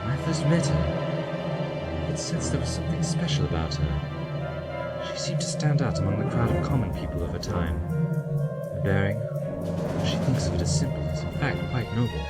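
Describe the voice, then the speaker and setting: A man's voice: lowish voice, very animated, moderate pace, pauses often. A man in an audio drama playing a weary, stubborn time-travelling Doctor. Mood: wistful. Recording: loud dramatic music over the voice.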